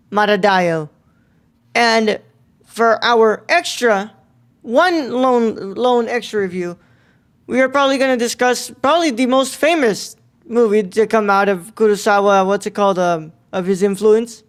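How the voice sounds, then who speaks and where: A man co-hosting a film podcast, talking casually and informally. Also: sits high. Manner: informal, mild swearing. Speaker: podcast co-host.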